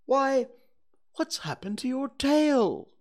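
A man voicing a cheerful, earnest little male teddy bear in a storybook reading, in a bright, eager, slightly sing-song manner. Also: sits high, very animated, takes it slowly, pitched above the performer's own voice, full character voice.

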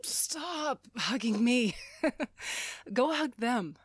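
A woman playing a brash, gruffly affectionate space outlaw sister in an audio drama. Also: a little high, animated, drawn-out words, no room sound, studio quality, exaggerated voice. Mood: brash, amused.

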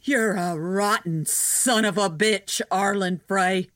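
A woman's voice: medium pitch, takes it slowly, a bit bright, no echo, clean studio sound. An actress playing an embittered, defiant rural farm woman in an audio drama, with folksy, scornful speech. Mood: shocked scorn.

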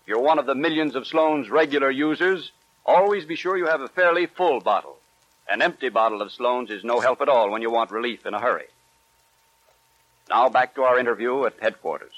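A man reading a scripted 1940s radio sponsor message as an earnest, measured announcer with emphatic phrasing. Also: mid-range voice, fairly bright tone, dry recording. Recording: dull, dry 1940s radio recording.

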